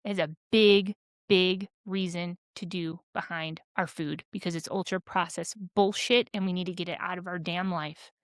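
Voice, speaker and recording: A woman's voice: lowish voice, fairly even intonation, moderate pace, no echo, studio quality. A woman co-hosting a podcast, ranting in a fired-up, rambling way with many restarts. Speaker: podcast co-host. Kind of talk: candid casual chat.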